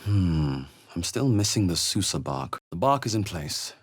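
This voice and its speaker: An actor playing an observant, wry adventurer in a video game, speaking in a husky, measured, understated way. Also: male voice, sits low, sing-song, heavily acted. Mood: wry, concerned. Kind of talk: video game voice acting, short reactive lines.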